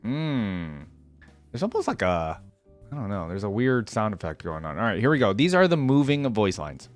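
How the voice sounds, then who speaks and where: A man hosting a reaction video, chatting casually to his viewers in an animated way and laughing often. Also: on the low side, moderate pace, faint music behind. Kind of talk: casual chat reacting to game audio.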